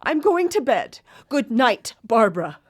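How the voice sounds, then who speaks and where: A prickly older mother-in-law with a fairly high voice. She is curt, bitter and disapproving, in a live radio play over faint noise. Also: sing-song, unhurried. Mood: bitter, weary. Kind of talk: live radio drama acting.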